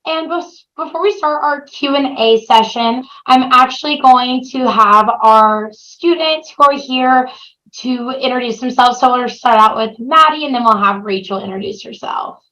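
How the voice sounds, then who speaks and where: A woman's voice: high-pitched, normal speed, bright, forceful tone, rough recording. A woman moderating a webinar Q&A, upbeat as she reads out questions and hands them to the panel. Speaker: session moderator.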